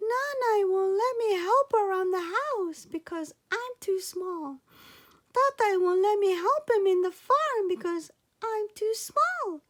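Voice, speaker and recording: A woman's voice: extremely high pitch, animated, slow, a bit bright, voice pushed up, full character voice. A woman voicing a tiny, earnest seven-year-old boy in a storybook, pleading and eager. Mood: wistful, pleading.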